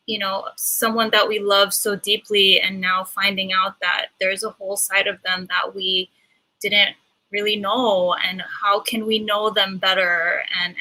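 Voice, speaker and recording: A woman from Hawaii sharing personal reflections as a panelist, halting and thoughtful as she searches for words. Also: mid-range voice, bright, forceful tone, low-quality recording.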